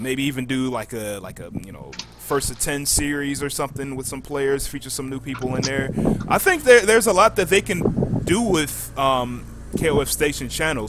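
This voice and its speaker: A man hosting a casual gaming community chat, talking in an informal, halting way. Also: medium pitch. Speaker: podcast host. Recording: clearly noisy background.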